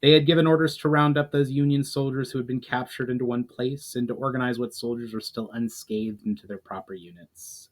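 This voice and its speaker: A man narrating a fantasy story as its author, in a measured, formal storytelling manner. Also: medium pitch. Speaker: author reading own book. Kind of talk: dramatic audiobook-style narration of fantasy fiction.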